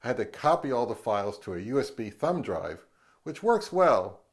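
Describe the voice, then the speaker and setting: A man's voice: mid-range voice, big pitch swings, moderate pace. A middle-aged man hosting a gear review in an explanatory vlog style. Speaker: host, audio gear reviewer.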